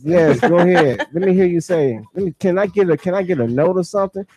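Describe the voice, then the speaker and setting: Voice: mid-range voice, fast talker, a little mellow. A radio host with an emphatic, slangy way of talking, bantering casually and often repeating phrases.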